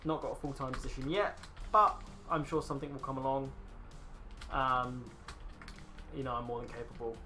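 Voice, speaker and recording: A man's voice: mid-range voice, steady tone, normal speed, pauses often, sharp tone, soft background music. A man in his twenties casually chatting and rambling to viewers while streaming a game. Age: 20s. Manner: rambling, chatty, swears casually, talks to chat. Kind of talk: casual live-stream chat.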